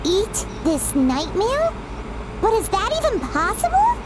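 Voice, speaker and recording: A woman voicing a small floating girl companion in an anime-style video game, squeaky and childlike, excitable and anxious. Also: unhurried, very noisy, full character voice. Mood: worried. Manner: exclaims, refers to herself in the third person.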